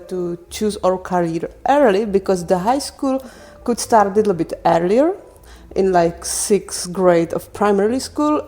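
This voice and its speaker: Woman, mid-pitched voice. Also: dark tone. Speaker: podcast guest, landscape design teacher. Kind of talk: casual interview answers.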